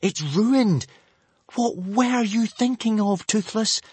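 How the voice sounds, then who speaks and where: A man's voice: high-pitched, animated, takes it slowly, darkish tone, slightly rough, dry recording, pitched above the performer's own voice, exaggerated voice. A man voicing an anxious young Viking boy who frets and pleads. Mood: despairing. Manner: fretful, pleading.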